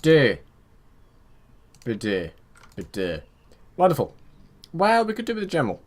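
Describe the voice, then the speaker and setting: A man's voice: a little high, huge pitch swings, measured pace. A man giving casual let's play commentary, rambling and muttering to himself as he plays, joking at his own expense. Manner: self-mocking, chatty.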